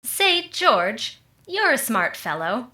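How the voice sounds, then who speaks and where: A woman performs a confident, chummy sitcom friend with a bright, high voice. Her delivery is emphatic and measured, in old-time radio comedy style with a roomy echo. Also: sing-song, heavily acted. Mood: confident, coaxing. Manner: confident, emphatic, cheerfully insistent. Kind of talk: re-enacted 1940s radio comedy and advert acting. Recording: roomy, echoing voice recording.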